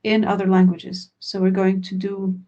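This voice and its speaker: A woman's voice: medium pitch, flat delivery, normal speed, mellow, lo-fi sound. A woman whose first language is Croatian, a language teacher answering questions in a webinar in an earnest, plain way. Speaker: language teacher and product founder.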